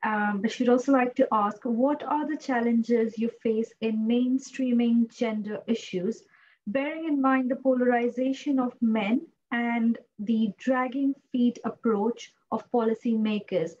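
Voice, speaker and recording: A woman's voice: fairly high pitch, fairly even intonation, a little slow, a little mellow. A woman moderating a webinar Q&A, polite and a little hesitant, with many fillers, on a video call. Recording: dull video-call audio, faint noise.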